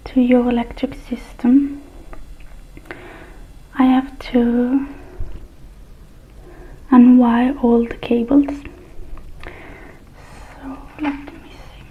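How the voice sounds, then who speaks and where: A woman doing an ASMR roleplay as a technician, murmuring softly and mostly in a whisper, with unhurried pauses. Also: fairly high pitch, narrow, tinny audio, a little hiss or hum.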